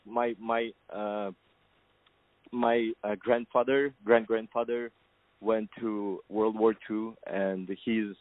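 A man from Kazakhstan talks over a thin, tinny phone line, his voice low and flat. He speaks hesitantly, with many fillers, as a film producer answers an interviewer. The line sounds dry and close. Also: no echo. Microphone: telephone.

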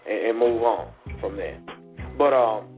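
A man's voice: fairly low pitch, measured pace. Laid-back male talk radio host, tinny. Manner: laid-back, slangy, joking. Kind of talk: casual talk radio chat. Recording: tinny phone-line internet radio with music.

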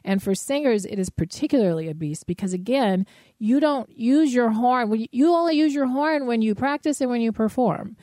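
A woman singer with a soft voice, talking casually and animatedly with many "you know" asides. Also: on the high side, animated, fairly quick, no echo. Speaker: singer and podcast co-host. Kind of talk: casual conversation.

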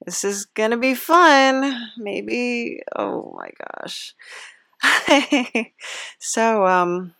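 A woman's voice: on the high side, wildly swooping pitch, drawn-out words, creaky, pushed and bright, no echo, studio quality. A woman hosting a casual comic podcast interview in a hesitant, awkwardly chirpy, giggly manner. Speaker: podcast host.

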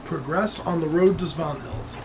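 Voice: medium pitch, moderate pace, heavy background noise. Easygoing tabletop game master.

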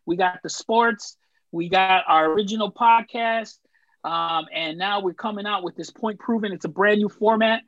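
A man hosting a casual podcast, relaxed and easygoing, with many filler words. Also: high voice, moderate pace, no echo. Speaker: podcast host. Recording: dull, dry lo-fi sound.

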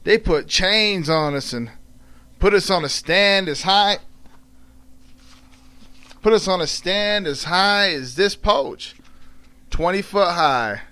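A man voicing an elderly, proud and sorrowful formerly enslaved mother, telling her story in halting folksy dialect. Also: high voice, lively intonation, sharp tone, heavily acted. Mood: sorrowful, defiant. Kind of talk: dramatic read-aloud of a dialect tale.

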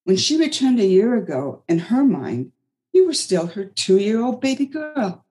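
A woman voicing a protective older caretaker who speaks firmly and chides gently. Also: medium pitch, sing-song, unhurried, voice pushed up. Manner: firm, chiding, motherly.

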